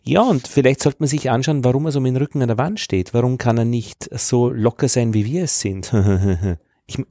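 A man with an Austrian accent in a casual, reflective discussion, speaking haltingly and often searching for his words. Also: mid-range voice, dark tone, dry recording. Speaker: teacher at an evening school, podcast host.

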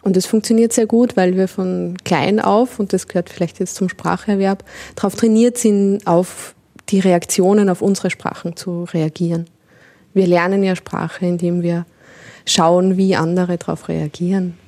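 A woman's voice: on the low side, fairly even intonation, normal speed, mellow. A woman giving an expert interview, explaining thoughtfully with everyday examples.

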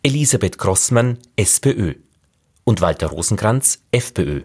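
A man narrating a radio feature in a measured, questioning way. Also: on the low side, lively intonation, darkish tone, husky. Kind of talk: scripted narration.

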